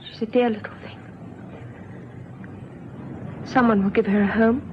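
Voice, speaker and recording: A woman's voice: fairly high pitch, fairly even intonation, unhurried, close to an everyday voice. A woman acting a resigned, stubborn mother in her twenties in an old radio drama, with terse replies. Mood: resigned, bleak. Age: 20s. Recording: muffled old radio recording, faint noise.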